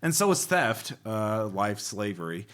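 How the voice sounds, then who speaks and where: A man's voice: on the low side, very animated, measured pace, a bit bright. A man hosting a podcast in casual chat, rambling along in a jokey way. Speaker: podcast host.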